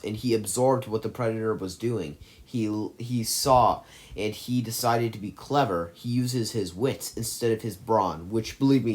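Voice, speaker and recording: A man giving casual, effusive commentary on his favorite movies, rambling and correcting himself as he goes. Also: medium pitch. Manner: gushing, effusive praise. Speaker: movie list vlogger.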